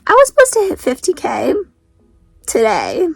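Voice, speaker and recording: A woman's voice: high voice, huge pitch swings, normal speed, creaky, husky, no room sound, faint music behind. A teenage girl and author vlogging casually, rambling in a stressed, self-mocking way with a sleepy-sounding voice. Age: teens. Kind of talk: casual vlog chat.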